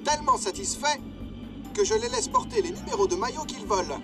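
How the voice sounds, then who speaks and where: A man's voice: very high-pitched, huge pitch swings, a little fast, recorded from a distance, music in the background, exaggerated voice. A man voicing a smug, wealthy sheikh who owns a team, in a French cartoon dub.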